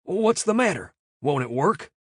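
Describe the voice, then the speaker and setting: A man voicing a scrawny, slow-witted American outlaw who asks confused questions. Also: a little high, sing-song, a bit bright, breathy edge, dry recording, studio quality, voice pushed up, full character voice. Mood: befuddled.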